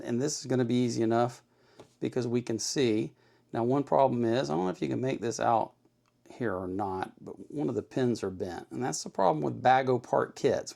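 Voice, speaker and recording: A man with a mid-pitched voice and plenty of gravelly fry hosts an informal how-to. He talks in a folksy, plain-spoken way, reassuring the learner and going back over his points. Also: darkish tone. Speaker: host of an electronics kit-building tutorial. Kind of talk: informal hands-on tutorial talk.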